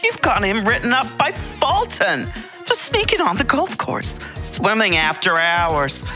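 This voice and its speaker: A woman's voice: sing-song, a little slow, faint music behind. A woman playing a spoiled, scheming teenage girl diva in a put-on American accent, drawling and mocking. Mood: smug. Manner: drawling, mocking, high and bright.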